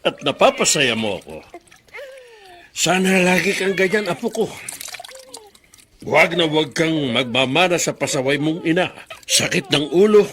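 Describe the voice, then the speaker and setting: A man's voice: a little high, lively intonation, normal speed, long pauses, fairly bright tone. An actor playing a stern, unforgiving grandfather in a radio drama, with a scolding manner. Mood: fond, then bitter. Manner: scolding, hard-hearted.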